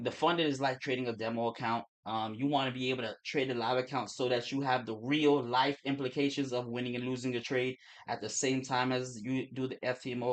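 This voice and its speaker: A young man from Turks and Caicos with a mixed Caribbean and American accent, talking fast and casually on a trading livestream, with restarts and swearing. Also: mid-range voice. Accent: Turks and Caicos Creole-English mix.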